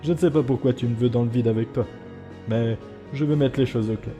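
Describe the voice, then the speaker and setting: A weary, guarded skeleton played by a man speaks in a very dark, mellow voice. He is subdued and earnest, with long reverb and faint music, as in a dramatic comic dub. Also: mid-range voice, a little fast, close to an everyday voice. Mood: firm, wounded. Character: weary male skeleton, guarded, gentle, self-doubting.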